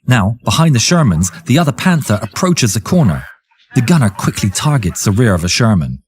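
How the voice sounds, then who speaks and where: A man reading dramatic scripted war history narration in a theatrical, suspenseful way, with a husky, raspy voice. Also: medium pitch, sing-song, a little fast, a little hiss or hum.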